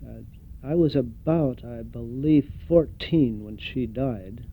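Older man, reminiscing slowly, halting with many fillers, tinny phone-like sound. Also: mid-range voice, slight background noise. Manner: hesitant, reflective, many fillers. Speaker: interviewee. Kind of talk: oral history interview.

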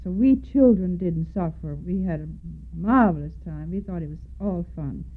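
A woman's voice: lowish voice, normal speed. Older Danish woman reminiscing on lo-fi tape. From Denmark. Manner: reminiscing, spells out names letter by letter. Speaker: interviewee. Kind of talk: oral history interview. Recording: tinny phone-like lo-fi tape.

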